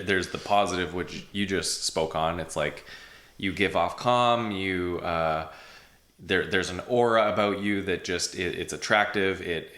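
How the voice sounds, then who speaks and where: A man's voice: sits low, bright, forceful tone. A man hosting a podcast in a casual, hesitant way, with many fillers and repeated words. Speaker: podcast host. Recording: echoey room.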